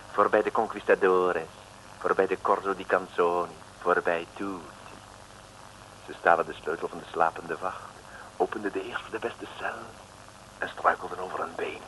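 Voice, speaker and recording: An actor as a deadpan Dutch storyteller, narrating an old radio comedy serial in measured scripted phrases. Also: male voice, sits low. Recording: noisy old radio recording.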